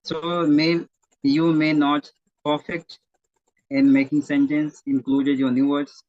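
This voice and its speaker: A man who teaches at a primary school, speaking as an interview guest in a halting way and often correcting himself mid-sentence. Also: on the high side, flat delivery, a little mellow, no echo. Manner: halting, self-correcting, searching for words. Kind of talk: interview conversation. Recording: dull, dry lo-fi audio.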